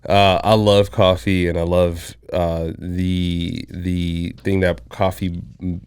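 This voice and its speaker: Thirties man from Zambia, very low smooth voice, relaxed podcast guest, dry close mic. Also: slow pace, dry recording. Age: 30s. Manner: relaxed, reflective, with frequent fillers and restarts. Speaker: guest, coffee shop owner. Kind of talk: casual interview conversation.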